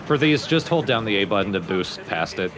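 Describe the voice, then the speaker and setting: A man with a slightly low voice and lively intonation commentates on a video game. He explains things in a casual way. Game music is clearly heard behind him. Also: brisk. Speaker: let's play commentator. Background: loud video game music.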